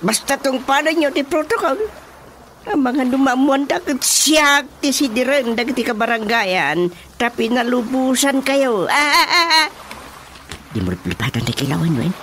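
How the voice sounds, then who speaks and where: A man's voice: very high-pitched, big pitch swings, moderate pace, pushed and bright, slight background noise, full character voice. A man with an Ilocano accent playing a blustering village chairman and father in a radio comedy drama. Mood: blustering, joking. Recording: radio drama with music bed underneath.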